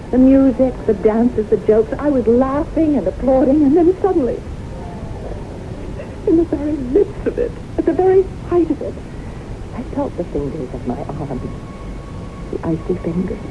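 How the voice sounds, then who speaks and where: An actress playing a haunted woman who recounts disasters in dramatic, deliberate radio drama style. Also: a little high. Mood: wistful, then anguished, terrified. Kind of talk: 1970s radio drama acting. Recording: muffled noisy old radio recording.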